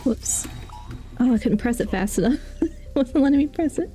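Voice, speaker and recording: Mellow-voiced woman streaming a game. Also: high-pitched, animated, normal speed, slightly rough, faint music behind. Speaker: game livestreamer. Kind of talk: casual chat while playing.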